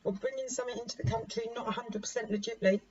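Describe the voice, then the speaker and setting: A hoarse woman in her forties giving a casual tarot reading to camera, chatty and laughing at herself as she repeats and restarts. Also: fairly high pitch, fairly even intonation, racing, a little mellow. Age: 40s. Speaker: tarot reader. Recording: dull lo-fi recording with faint noise.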